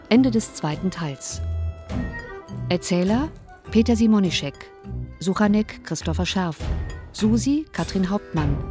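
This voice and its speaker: A woman reading radio drama credits as a scripted announcement. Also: lowish voice. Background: music.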